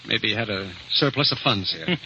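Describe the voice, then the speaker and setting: A man's voice: on the low side, moderate pace, pushed and bright. A man acting a nervous young man accused of spying in an old radio drama, hesitant, stammering and protesting. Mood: anxious, protesting. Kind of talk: 1930s-40s radio acting. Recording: muffled, hissy old radio recording.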